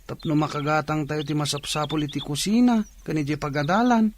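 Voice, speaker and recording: Actor as high-voiced fretful younger sibling. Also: male voice, a little fast, slight background noise, natural voice. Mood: plaintive. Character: needy, fretful younger sibling in a poor village family. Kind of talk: radio drama acting.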